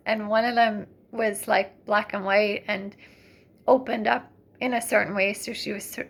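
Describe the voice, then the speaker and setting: A woman with a fairly high voice and a noticeable creak at the edges talks in a casual, chatty style. She rambles through a personal story, becoming animated when she recounts what people said. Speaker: vlogger.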